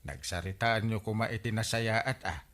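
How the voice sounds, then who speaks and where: A man playing a genial, excitable village captain with an Ilocano accent in a radio comedy drama, full of exclamations. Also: on the low side, normal speed, natural voice. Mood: calming.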